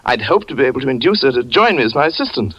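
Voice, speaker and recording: An actor playing a suave, sinister occultist who speaks in a silky, ingratiating way, in 1940s radio drama style. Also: male voice, medium pitch, big pitch swings, rapid-fire, breathy edge. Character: suave smooth-talking male occultist, charming and sinister. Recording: lo-fi old radio recording, faint music.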